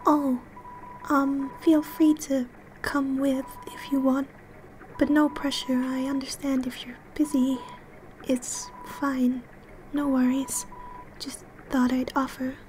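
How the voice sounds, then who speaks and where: A woman's voice: sits high, flat delivery, slow, a little mellow, a little hiss or hum. A woman voicing a timid, gloomy ghost in a comedic game dub, hesitant and constantly apologetic, sometimes slipping toward a whisper. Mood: apologetic, shy. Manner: hesitant, self-effacing, partly whispered.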